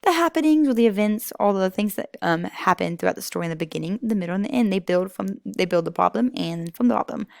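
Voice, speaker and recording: Female teacher for young kids: creaky voice, explanatory, emphatic, informal; very dry sound. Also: medium pitch, lively intonation, fast talker, few pauses, a little mellow, dry recording. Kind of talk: informal lesson for young children.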